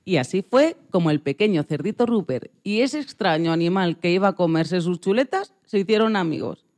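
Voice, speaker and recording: A woman's voice: fairly low pitch, lively intonation, a little fast, a little mellow. A woman reading scripted narration for a children's story.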